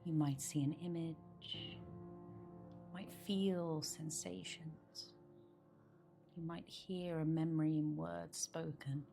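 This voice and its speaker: A woman guiding a meditation in a soft, soothing manner, with phrases often trailing into breathiness. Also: low voice, steady tone, takes it slowly, vocal fry. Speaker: meditation guide. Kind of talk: guided meditation. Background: background meditation music.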